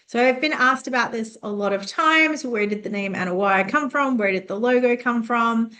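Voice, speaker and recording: A woman's voice: fairly high pitch, normal speed, bright, forceful tone. A woman hosting her own podcast, talking conversationally with many "um"s and restarts. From United States and Canada. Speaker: podcast host, business owner. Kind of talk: casual solo podcast.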